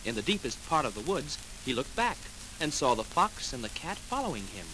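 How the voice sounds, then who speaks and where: A man narrating a children's story dramatically, with clear enunciation, in a 1940s record style. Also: medium pitch, very animated, a little fast, noisy background. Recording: old 78 rpm record with music.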